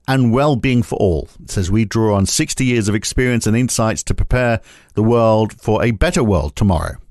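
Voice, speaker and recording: A man's voice: medium pitch, dry recording, clean studio sound. Wry man hosting a podcast. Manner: wry, sardonic, conversational. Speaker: podcast host. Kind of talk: opinionated commentary and interview.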